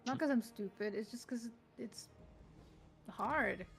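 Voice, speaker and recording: A woman's voice: fairly high pitch, slow, creaky. Giggly woman streamer, non-native English. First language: not English. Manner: chatty, giggly, trails off mid-thought. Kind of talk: casual gaming stream chat.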